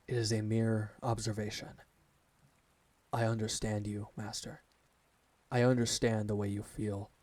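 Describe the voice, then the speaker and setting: A man's voice: on the low side, a little mellow, dry recording. A man playing a devoted alpha werewolf servant in an ASMR roleplay, speaking formally and earnestly to his master. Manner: formal, measured, reverent address to a master.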